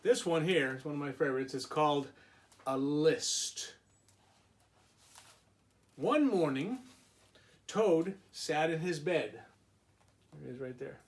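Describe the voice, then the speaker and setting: A man's voice: on the high side, animated, slow pace, pauses often. A man reading a storybook aloud to young children like a teacher, in simple phrases with small asides. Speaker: preschool and kindergarten teacher.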